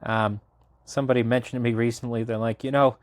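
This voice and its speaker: A young man in his late twenties, from Massachusetts, chatting as a guest on a podcast. He rambles along with plenty of "um"s and "you know"s and restarts mid-sentence. His voice sits at a middle pitch and sounds close and dry, with no room around it. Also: flat delivery, fast talker, dry recording. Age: 20s. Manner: talkative, rambling, frequent fillers and restarts. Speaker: podcast guest, local party committee chair. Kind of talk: casual interview conversation.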